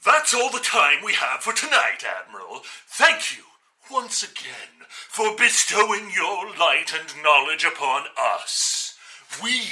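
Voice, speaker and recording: A man's voice: high-pitched, bright, forceful tone, full character voice, low-quality recording. A man playing a grandiose, grovelling cult preacher in an audio drama, with a pompous and fervent delivery.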